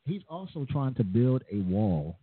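Husky man, 40s, talk show pastor, phone-like sound, dry. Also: mid-range voice, big pitch swings, measured pace, no room sound. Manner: chatty, laughs often, repeats phrases. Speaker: pastor and host. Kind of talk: casual radio talk show chat.

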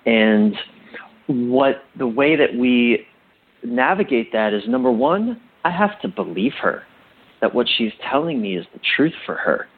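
A man being interviewed as a guest, explaining things like a coach in a thoughtful, halting way and repeating phrases for emphasis. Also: medium pitch, sing-song, slightly rough, narrow, tinny audio.

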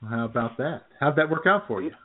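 American guy, radio cohost, casual jokey chat, average pitch, sounds tinny and band-limited. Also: animated, a little fast. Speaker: radio show co-host. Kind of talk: casual comedic banter.

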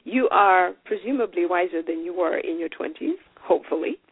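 A woman's voice: on the low side, animated, moderate pace, husky, dry recording. A woman in her forties hosting a solo podcast, talking in a chatty, folksy, encouraging way. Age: 40s. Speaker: podcast host. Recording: tinny phone-like sound.

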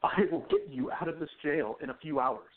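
A man's voice: on the high side, wildly swooping pitch, fairly quick. A man playing a devoted young newspaperman in a 1920s radio romance, speaking in an emphatic, romantic way. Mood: protective. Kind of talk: radio play acting. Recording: tinny phone-like line.

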